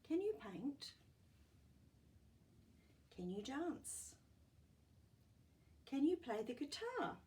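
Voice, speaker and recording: A wise old storybook snail, acted out by a woman, grumbles and cautions in a weary manner. His voice is lifted in pitch and lively, heard from slightly away from the mic. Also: drawn-out words, pauses often, distant mic, heavily acted. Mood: questioning.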